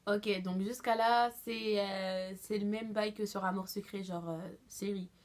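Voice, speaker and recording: A young woman vlogger giving a casual, expressive reaction commentary full of slang. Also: mid-range voice, a little slow, faint music behind.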